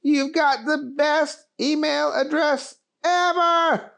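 A storyteller voicing Keith, an excitable folksy cowboy man who talks in a very high, slow drawl. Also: vocal fry, heavily acted. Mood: excited. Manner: drawn-out hollering, very high.

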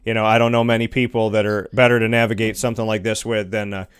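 A man's voice: on the low side, level tone, fast, smooth. A man hosting an interview, rambling through his questions with many filler words. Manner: rambling, heavy on "you know" fillers. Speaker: interview host.

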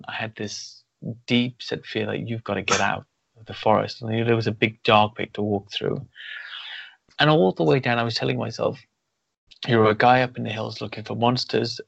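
A man's voice: on the low side, gravelly, a bit bright, breathy edge, no room sound. A man hosting a podcast interview, talking in a thoughtful, rambling way with many restarts. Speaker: podcast host. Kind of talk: conversational interview. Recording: dull lo-fi sound.

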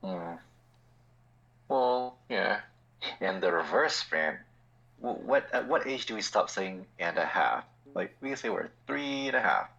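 A man in his forties co-hosts a casual podcast with a very deep, husky voice. His pitch swings widely as he talks, and he chuckles easily in a relaxed way. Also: unhurried, highs cut off. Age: 40s. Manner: laid-back, giggly. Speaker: podcast co-host.

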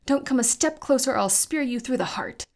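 A woman voicing a young female warrior who shouts threats while frightened. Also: high voice, lively intonation, brisk, voice pushed up. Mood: defiant.

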